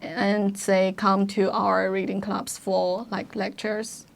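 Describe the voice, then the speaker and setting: A woman's voice: mid-range voice, little pitch movement, slow pace, creaky. A young woman with a Chinese accent, whose first language is Chinese, chatting as a podcast guest and restarting her sentences often. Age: young adult. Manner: hesitant, many restarts and fillers, laughs often. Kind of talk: casual interview chat.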